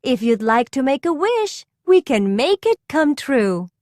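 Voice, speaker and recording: A woman's voice: high voice, very animated, unhurried, a little mellow, no room sound, clean studio sound. A woman voicing a friendly, grateful little fairy guide in a children's storybook, sweet and earnest.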